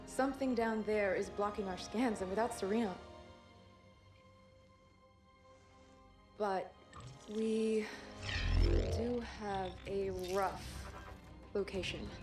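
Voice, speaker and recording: A woman's voice: mid-range voice, fairly even intonation, unhurried, close to an everyday voice. The intent, businesslike woman scientist on a starship bridge in a game cutscene acted by a woman, music playing behind her briefing. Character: brisk, driven female scientist aboard a military starship. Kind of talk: scripted sci-fi drama.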